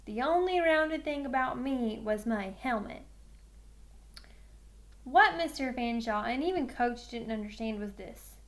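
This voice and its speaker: A woman reading a first-person children's novel aloud with expressive storytelling. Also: fairly high pitch. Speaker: read-aloud reader.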